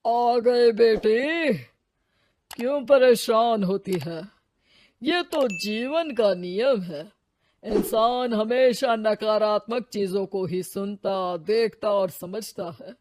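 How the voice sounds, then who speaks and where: Man playing a slow, wise old holy hermit. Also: high-pitched, darkish tone, dry recording. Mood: soothing. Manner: slow, soothing, solemn. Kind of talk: dramatised moral storytelling.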